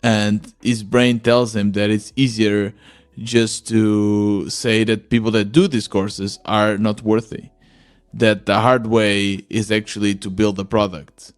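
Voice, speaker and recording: Low-pitched man hosting a reflective podcast. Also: little pitch movement, slow pace, clear, resonant tone. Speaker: podcast host. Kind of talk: reflective first-person narration.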